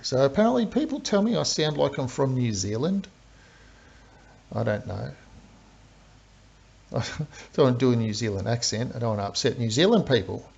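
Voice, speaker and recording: A man's voice: medium pitch, lively intonation, moderate pace, vocal fry, pauses often, darkish tone, slightly rough. A man with an Australian accent, a game streamer chatting through live commentary and explaining strategy step by step. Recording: dull lo-fi stream audio.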